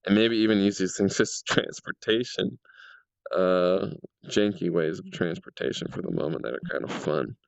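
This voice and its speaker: A man with a cold and a slightly raspy voice, casually commenting as he tests his game world and thinks aloud with fillers. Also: deep voice, animated, normal speed, no echo, dull audio. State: sick and a little raspy.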